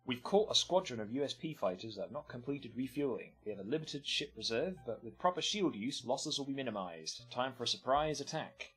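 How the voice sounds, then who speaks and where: A man's voice: mid-range voice, animated, fairly quick, few pauses, a bit bright, husky. A man streaming and commenting casually on games, with offhand, meandering remarks. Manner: offhand, meandering, muttering asides.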